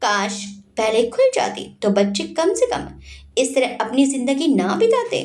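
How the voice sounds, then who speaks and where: A woman's voice: high voice, very animated, measured pace, darkish tone. A woman voicing a scornful, dignified middle-aged mother in an audio story, with a cutting manner. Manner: cutting, sarcastic.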